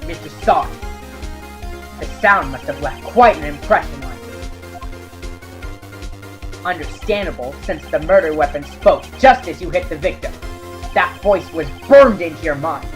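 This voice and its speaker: An earnest young male defense attorney played by a fandub actor, sharp and dogged, declaiming arguments in game courtroom style. Music plays behind the voice. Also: medium pitch, lively intonation, normal speed, pauses often, close to an everyday voice. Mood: assured.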